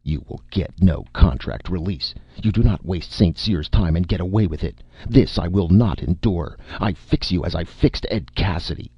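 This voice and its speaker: A man voicing a loud, furious, domineering film director in an audiobook story, bellowing and exasperated. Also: low-pitched, moderate pace, few pauses, breathy edge, no echo, muffled recording. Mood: menacing.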